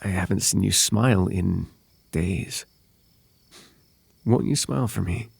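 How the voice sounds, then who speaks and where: A man voicing a possessive fae royal in an ASMR roleplay, courtly and coaxing with soft menace under a silky, creaky voice. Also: deep voice, a little slow, dry recording, heavily acted. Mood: tender, coaxing. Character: possessive, silky male fae royal wooing a captive lover. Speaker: voice actor.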